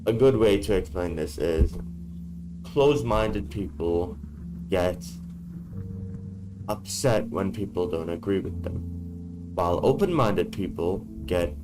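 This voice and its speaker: A man talking in a casual vlog from a dark room, blunt and swearing freely, his voice worn from being sick. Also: sits low, animated, slow pace, pauses often, over music. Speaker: YouTuber.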